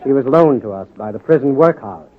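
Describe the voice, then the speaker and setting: An actor playing an earnest hospital doctor in a 1940s radio drama style. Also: male voice, medium pitch, lively intonation, brisk, a little hiss or hum, natural voice. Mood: grim, matter-of-fact. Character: earnest hospital doctor, quietly conspiratorial reformer ally. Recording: tinny old radio recording.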